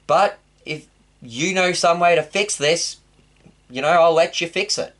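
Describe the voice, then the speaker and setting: Brief: man doing an outraged old-world king, high, exclaiming outbursts. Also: unhurried, fairly bright tone. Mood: apologetic. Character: hot-tempered loud ancient Persian king.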